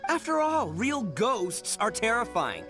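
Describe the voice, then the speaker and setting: A man's voice: sits high, huge pitch swings, measured pace, heavily acted. An actor playing a boastful, boisterous young male gang leader, brash and theatrical, in an English video game dub. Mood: blustering, sheepish. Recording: music behind the voice.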